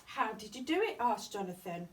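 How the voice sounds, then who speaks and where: A woman giving an expressive reading of a children's bedtime story. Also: medium pitch, moderate pace, far from the mic. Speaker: storytime reader at a primary school.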